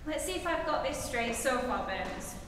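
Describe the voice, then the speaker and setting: An actress playing a brisk, skeptical police lieutenant in a staged 1940s radio comedy. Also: female voice, high-pitched, expressive. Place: theatre stage. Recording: echoey theatre, far from mic, faint hiss.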